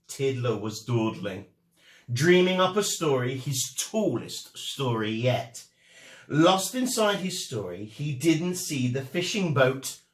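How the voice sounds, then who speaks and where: A man narrating a children's storybook in an expressive, deliberate and dramatic way as a storytime reader. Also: on the high side, echoey room.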